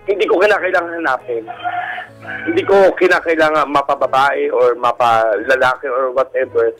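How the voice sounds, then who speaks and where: A middle-aged man talks about himself as a guest on a radio show. He is polite and drops English phrases into his sentences. Soft music runs behind his voice. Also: medium pitch, normal speed, poor audio. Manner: polite, uses respectful markers, mixes in English. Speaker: guest caller, bar comedian. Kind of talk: personal story told in a radio interview. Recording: music bed under radio talk.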